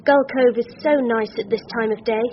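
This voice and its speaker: A woman's voice: on the high side, fairly even intonation, fairly quick, natural voice. An actress playing a polite, nervous English girl of sixteen, youthful and hesitant, in a radio drama. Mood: bright, eager. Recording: muffled radio broadcast, faint noise.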